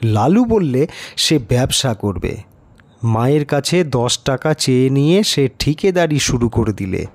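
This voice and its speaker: A man narrating a scripted literary story as an audiobook, with expressive storytelling delivery. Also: mid-range voice, unhurried. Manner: expressive storytelling, acts out characters' lines.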